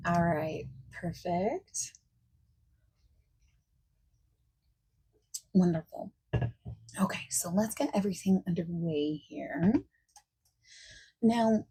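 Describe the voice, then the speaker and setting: A woman playing a friendly, eager party planner in a soft-spoken ASMR roleplay, breathy and often near a whisper, chatty and reassuring. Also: on the low side, heavily acted, rough recording. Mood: helpful, busy.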